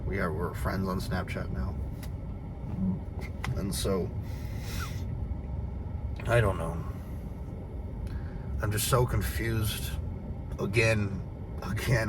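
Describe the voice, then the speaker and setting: A young man with a very deep, low voice talks through a personal vlog rant. He hesitates and restarts often and sounds confused. Steady background noise and passing vehicles sit under his voice. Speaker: vlogger.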